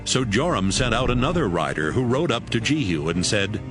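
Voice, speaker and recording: Low-voiced man narrating expressively. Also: sing-song, normal speed. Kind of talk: scripted dramatized Bible narration. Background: music.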